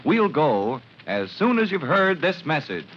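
A man's voice: a little high, very animated, measured pace, over loud music. A man narrating a 1940s radio drama as announcer, with a grave, theatrical and emphatic delivery. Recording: muffled old radio recording.

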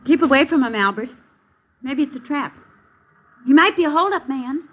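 A woman's voice: high-pitched, animated, moderate pace. A woman playing a bossy, penny-pinching working-class wife with folksy, complaining American speech in a 1950s radio drama. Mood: anxious, urging. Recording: muffled old radio recording with hiss and clicks.

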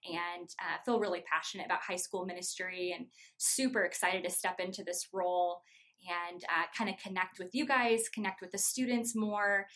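A woman's voice: medium pitch, a bit bright. A woman in her twenties from Iowa, a youth ministry staffer answering interview questions in an earnest, friendly way with lots of fillers.

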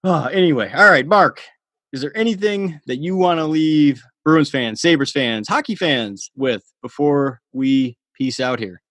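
A man's voice: on the high side, animated, measured pace, a bit bright, dry recording, clean studio sound. A man hosting a sports podcast interview, chatty and casual with lots of fillers and laughter. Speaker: podcast host.

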